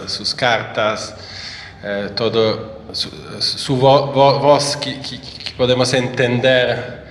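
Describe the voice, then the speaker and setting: A man with an Italian accent, a native Italian speaker, presenting his book in a slow, halting, emotional speech. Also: on the low side, fairly bright tone, slight background noise. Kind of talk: public speech. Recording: echoey hall with reverb.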